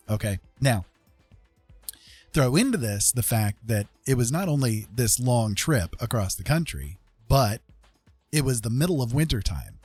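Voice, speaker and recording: An American man casually telling a rambling personal story as a livestream host, animated and repeating phrases for emphasis. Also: medium pitch, dry recording.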